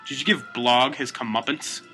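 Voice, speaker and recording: A woman's voice: extremely low pitch, fairly even intonation, moderate pace, fairly bright tone, faint music behind. A woman voicing a shifty, scheming male video game villain in an amateur noir radio drama, hammy and evasive. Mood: smug.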